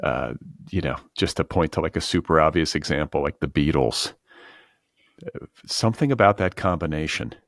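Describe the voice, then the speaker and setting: A man's voice: very deep voice, normal speed, creaky, no echo, studio quality. A man hosting a podcast in a casual interview style, musing informally and circling around his point. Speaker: podcast host.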